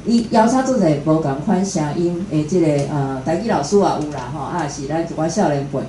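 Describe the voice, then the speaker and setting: A woman's voice: sits low, animated, a little fast, warm tone. A woman with a Taiwanese accent, hosting and giving an informal introduction at a live talk. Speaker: event host introducing a guest speaker. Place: indoor room at a live talk event. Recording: echoey room with faint noise.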